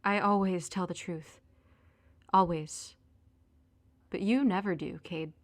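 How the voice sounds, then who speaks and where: A woman's voice: fairly low pitch, steady tone, slow pace. A woman acting in an audio drama as a hurt, furious young girlfriend, sarcastic and biting as she confronts her cheating boyfriend. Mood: wounded.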